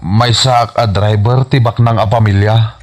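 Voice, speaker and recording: A man playing a flustered, stammering comic character in an Ilocano radio drama, husky, with an Ilocano accent. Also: on the low side, fairly even intonation, a little slow, lightly acted. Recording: music bed behind the voice.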